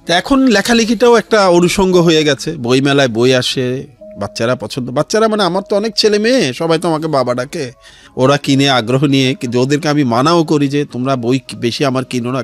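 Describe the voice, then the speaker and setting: A man's voice: medium pitch, fairly quick. A middle-aged man with a Bangladeshi accent, telling his life story and giving earnest advice as an interview guest. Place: radio studio interview. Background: music behind the voice.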